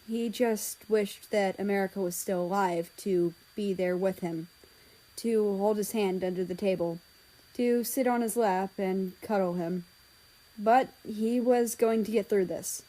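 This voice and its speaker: Female story reader, mid-pitched, scripted fiction narration. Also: unhurried, warm tone, rough recording.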